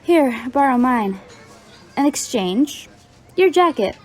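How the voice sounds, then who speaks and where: A woman's voice: high-pitched, animated, slow, darkish tone, exaggerated voice. A woman playing a blunt, teasing young college student with a dry, bossy manner in an audio roleplay. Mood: dry, playful.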